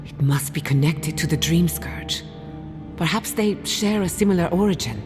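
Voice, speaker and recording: A woman playing an inquisitive, wry scholar in a fantasy video game, speaking in an analytical, expressive way. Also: on the low side, normal speed, close to an everyday voice. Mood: curious. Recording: reverberant hall, music underneath. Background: music.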